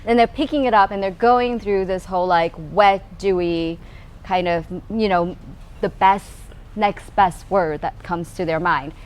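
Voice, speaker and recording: A woman in a casual conversation at a tasting, explaining earnestly with many fillers. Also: mid-range voice. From United States. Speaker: co-founder of a taste and smell group. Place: tasting room in a tea factory. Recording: faint room noise.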